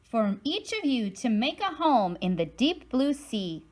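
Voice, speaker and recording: A woman voicing a gentle mother fish in a storybook, speaking in a motherly way. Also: fairly high pitch, very animated, a little slow. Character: gentle mother fish sending her children off.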